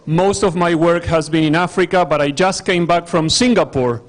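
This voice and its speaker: A man with an Argentine accent speaks in a notably high register, lively and directive as he instructs an audience. He sets out tasks step by step for a conference hall full of people. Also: normal speed. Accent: Argentine Spanish. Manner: animated, directive, addressing a crowd. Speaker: workshop facilitator.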